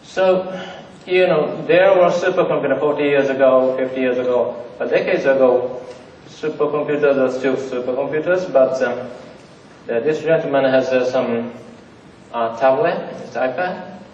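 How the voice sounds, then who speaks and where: A man's voice: mid-range voice, normal speed, a little mellow, a little hiss or hum. A man with as his giving a conference talk to an audience, joking in simple phrasing with lots of fillers. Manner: joking, plain non-native phrasing with many fillers. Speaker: conference presenter. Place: large theater. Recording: dull, roomy audience recording from far off.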